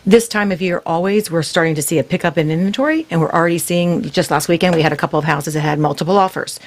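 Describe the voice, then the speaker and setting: A woman with a notably low voice speaks in a measured, explanatory way as a guest in a radio studio. She grew up in Florida and often pauses with an um. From Gainesville, Florida. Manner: measured, explanatory, frequent ums. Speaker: real estate broker. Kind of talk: radio talk show guest.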